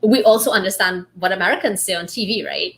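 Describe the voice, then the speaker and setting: A woman from Trinidad with a light Trinidadian accent, hosting a casual book club chat and telling lively stories with laughs and acted-out quotes. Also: mid-range voice, quick pace, vocal fry. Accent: light Trinidadian, trained toward neutral. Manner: animated storyteller, laughs often, acts out quotes.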